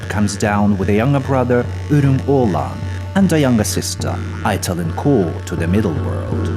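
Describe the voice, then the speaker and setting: A man reading scripted narration of a folk epic in a formal, measured storytelling manner. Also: fairly low pitch. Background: music.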